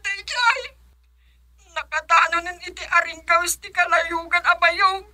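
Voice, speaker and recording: An actress with an Ilocano accent playing a distressed, crying village wife pleading for help in a radio drama. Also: female voice, extremely high pitch, steady tone, measured pace, pushed and bright, exaggerated voice. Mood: tearful, frantic.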